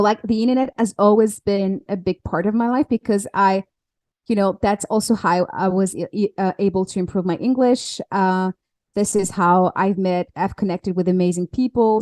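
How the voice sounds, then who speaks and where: A woman whose first language is French speaks English in a relaxed podcast chat, often pausing on fillers and restarting her sentences. Her pitch sits in an ordinary middle range. The recording is dry and close, with no room sound. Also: dry recording. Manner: halting, with many fillers and restarts. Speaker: podcast guest, alignment coach. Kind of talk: casual interview chat.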